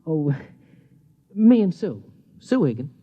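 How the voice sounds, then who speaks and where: Mellow American rocket pilot husband, old radio. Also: male voice, on the high side, sing-song, takes it slowly, full character voice. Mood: playful, teasing. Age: adult. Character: devoted rocket pilot husband, teasing, stubborn about his career. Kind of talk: 1950s radio drama acting. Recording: muffled vintage 1950s radio recording.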